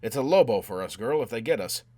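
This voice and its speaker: A man voicing a gruff, hard-boiled crook in a science-fiction adventure, blunt and forceful. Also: lowish voice, animated, fast, no echo. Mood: urgent, alarmed. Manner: blunt, forceful, barking delivery.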